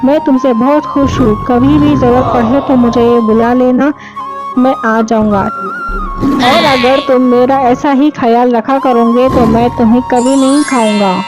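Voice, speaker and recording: A bossy, self-satisfied lion king from a cartoon story, voiced by a woman, lowered from her high voice. He talks in a grand, commanding manner. Background music runs throughout. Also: fairly even intonation, measured pace, rough recording. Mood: smug. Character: proud bossy male lion, king of the jungle.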